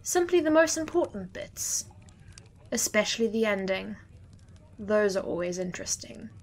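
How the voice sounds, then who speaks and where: An actress playing a weary, scornful tusked judge of the dead in an audio drama, speaking theatrically. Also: female voice, medium pitch, very animated, normal speed. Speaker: afterlife judge.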